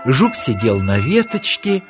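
A male storyteller narrates a children's fairy tale over clear background music. His delivery is slow and dramatic, with a very lively, swooping melody. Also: mid-range voice. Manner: expressive, dramatic.

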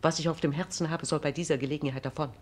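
A woman's voice: low voice, steady tone, very fast, darkish tone. Proud, imperious female regent, standard German, formal and emphatic, classic radio-play delivery. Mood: accusing, resolute. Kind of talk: classic German stage-drama radio acting.

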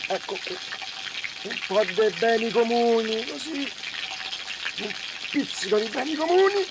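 A woman playing a playful cook who murmurs halting phrases to herself in a comic radio skit. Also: a little high. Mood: playful, absorbed. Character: playful cook seasoning and frying as she goes. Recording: loud sizzling kitchen noise over voice.

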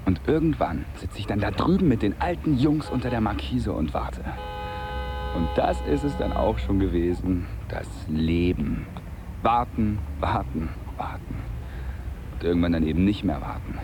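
A jobless harbour labourer, cynical and coarse, in a radio play. His low voice swings widely as he grumbles bitterly. There is clear noise behind him. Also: lively intonation, moderate pace. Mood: resigned, sardonic. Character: bitter, foul-mouthed jobless casual labourer at the old harbour. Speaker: actor in radio drama. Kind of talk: naturalistic radio drama dialogue.

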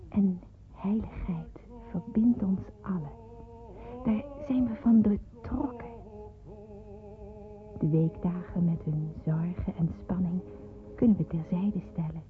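Earnest schoolgirl narrator, thin phone-like sound. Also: fairly low pitch. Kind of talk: scripted first-person narration in a radio drama. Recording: thin phone-like radio drama recording.

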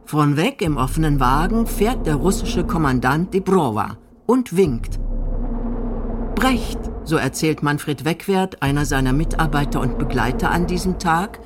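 A woman's voice: low voice, animated, a little slow, noisy background. A woman reading scripted documentary narration as a radio narrator.

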